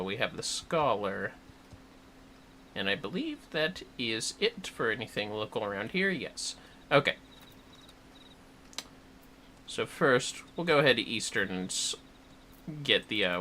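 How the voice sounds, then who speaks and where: A man's voice: on the low side, lively intonation, unhurried, sharp tone, a little hiss or hum. A man hosting a gaming livestream with casual commentary, rambling a little as he plans aloud. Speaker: livestream host.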